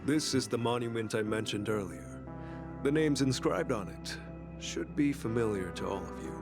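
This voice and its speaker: A man playing a grave, reverent guide in a video game, recounting history in measured, formal speech. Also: medium pitch, vocal fry, close to an everyday voice. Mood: solemn. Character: solemn, reverent male guide recounting fallen heroes' history. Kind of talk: video game character acting. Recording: game music behind the voice.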